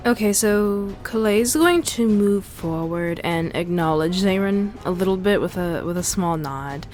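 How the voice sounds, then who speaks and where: Mid-pitched woman narrating her game turn. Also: a little slow, darkish tone. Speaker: tabletop game player. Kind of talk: describing a character's game moves. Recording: faint music bed under voice. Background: faint music.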